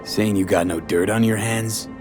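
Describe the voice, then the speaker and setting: A man's voice: fairly low pitch, steady tone, moderate pace, lightly acted. A man playing a cynical, streetwise mercenary in a video game, with an American accent and clipped, slangy, wry delivery. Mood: cynical, challenging. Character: cynical, streetwise male mercenary, blunt and probing. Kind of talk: video game cutscene acting. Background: music under the voice.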